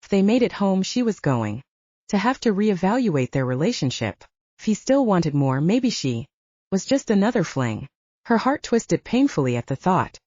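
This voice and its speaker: Lady reading a novel, soft mellow voice, lots of pitch movement, slightly muffled dry audio. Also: very animated, moderate pace, no echo. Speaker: audiobook narrator. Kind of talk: scripted fiction narration.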